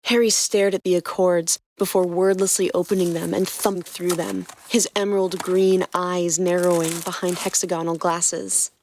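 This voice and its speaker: A woman narrating a fan fiction story in a steady reading voice. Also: on the low side, moderate pace, few pauses, a little hiss or hum, rough recording. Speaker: podcast co-host narrating fanfiction.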